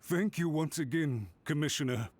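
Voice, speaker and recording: An actor playing an anxious, deferential clan official in a video game, pleading formally and submissively. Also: male voice, fairly high pitch, moderate pace, close to an everyday voice. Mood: nervous, submissive.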